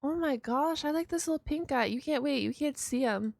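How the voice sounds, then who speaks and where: A woman hosting a reaction video, commenting casually in an excitable, rambling, slangy way. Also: high-pitched, brisk, creaky, dry recording.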